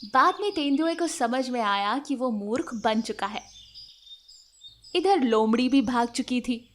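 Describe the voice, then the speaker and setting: High-pitched woman storyteller. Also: expressive, measured pace, slight background noise. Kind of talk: scripted children's story narration.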